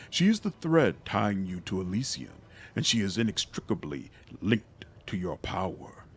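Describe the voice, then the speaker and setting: A man voicing a composed, calculating otherworldly male mentor in a fantasy game, speaking formally and deliberately. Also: low-pitched, big pitch swings, a little hiss or hum. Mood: concerned.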